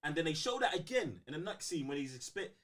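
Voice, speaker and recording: A man with a London British accent in casual fan debate on a livestream, slangy and excitable, repeating phrases for emphasis. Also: a little high, a little fast. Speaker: co-host of a film fan livestream.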